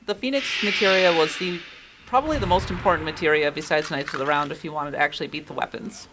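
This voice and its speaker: High-voiced man commenting over loud noise. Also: fast. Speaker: video game critic and commentator. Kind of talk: casual opinionated commentary over game cutscenes.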